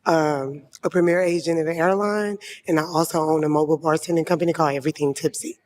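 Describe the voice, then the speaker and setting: A woman's voice: sits low, steady tone, fast, darkish tone. A woman in her thirties introducing herself confidently on a dating show. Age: 30s. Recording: faint background noise.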